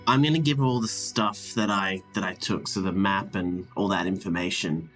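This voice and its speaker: A man's voice: low voice, moderate pace, fairly bright tone, faint music behind. A man playing a tabletop game, describing his character's actions in casual chat.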